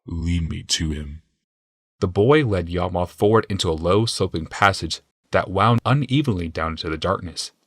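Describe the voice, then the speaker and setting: A man's voice: sits low, normal speed, a bit bright, dry recording. A man reading scripted, dramatic fantasy narration as an audiobook narrator.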